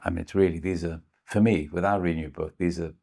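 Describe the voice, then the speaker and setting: Deep-voiced male interviewer, probing. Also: fairly even intonation, fast, gravelly, darkish tone. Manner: probing, sums up the guest's traits in loose run-on sentences. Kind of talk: conversational interview.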